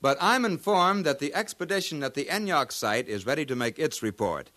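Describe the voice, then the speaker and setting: A man's voice: on the high side, animated, a little fast, sharp tone, no room sound. A man acting a courteous broadcast anchor in a 1950s radio drama, with polished announcer delivery. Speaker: program anchor.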